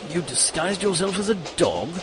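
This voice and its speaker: A man's voice: high voice, very animated, moderate pace, very noisy. An actor playing an eager, easily distracted male sidekick in a comic radio play. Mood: eager interest.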